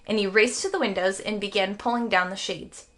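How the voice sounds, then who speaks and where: A woman's voice: mid-range voice, a little fast. A woman teacher reading a children's story aloud expressively, as if to young students. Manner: expressive, explains phrases to young listeners.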